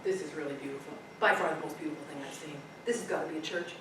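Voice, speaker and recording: A woman's voice: high voice, animated, normal speed, background noise. A woman voicing a naive, earnest young man who wants to be a knight, in an echoey room far from the mic. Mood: awed. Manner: earnest and clueless. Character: naive, eager young would-be knight, male.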